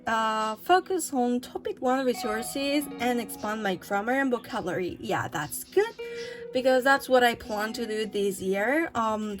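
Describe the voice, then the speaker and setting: A young woman talking casually about her own language goals, with many hesitations and fillers, in a language that is not her first. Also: a little high. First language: not English. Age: young adult. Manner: hesitant, many fillers and restarts, soft laughs. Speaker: language-learning vlogger. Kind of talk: casual vlog talk.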